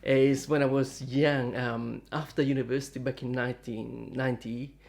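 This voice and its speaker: Fifties male host, rambling and friendly, mid pitch, lots of fillers. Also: level tone, moderate pace, vocal fry, a little mellow. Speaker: guitar dealer and channel host. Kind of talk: casual chat to camera. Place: home in Melbourne, Australia.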